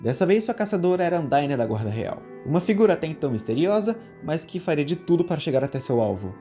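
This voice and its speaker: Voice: mid-range voice, big pitch swings, fast, mellow. A narrator reading a scripted story in a storytelling style. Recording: music behind the voice.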